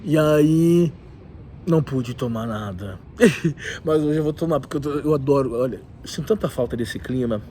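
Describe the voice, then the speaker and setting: A man with a cold, talking in an animated, opinionated way with many asides in a casual vlog, recorded in a rooftop leisure area. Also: a little high, moderate pace, a little hiss or hum. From southern Brazil. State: has a cold. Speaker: video host.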